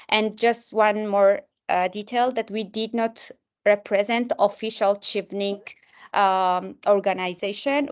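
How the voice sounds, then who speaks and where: A woman hosting an online mentorship session, speaking hesitantly with many filler sounds. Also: mid-range voice.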